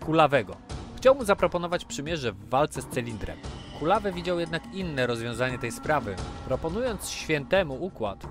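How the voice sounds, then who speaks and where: A man narrating a recap of a tabletop game in an even storytelling manner. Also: on the high side. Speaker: game master. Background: music.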